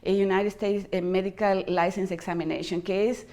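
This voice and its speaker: A woman's voice: mid-range voice, fairly even intonation, moderate pace, darkish tone. A middle-aged woman from Argentina, a physician guest with an Argentine accent, talking in a casual interview and rambling with many fillers and restarts. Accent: Argentine, non-Buenos Aires. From Argentina, near Chile.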